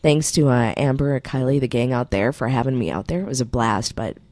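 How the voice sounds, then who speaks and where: A woman hosting a morning radio show, chatty and quick to laugh, losing her voice. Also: very deep voice, a little mellow, dry recording. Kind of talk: casual morning radio banter. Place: radio studio. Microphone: studio microphone.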